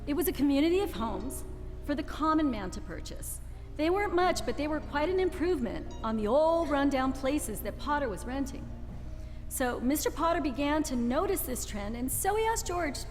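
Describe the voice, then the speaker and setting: A woman acting a kindly storyteller in a 1940s-style radio play, explaining the tale clearly to a listener. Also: high-pitched, expressive, normal speed. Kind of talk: scripted radio play narration. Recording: reverberant hall, music underneath.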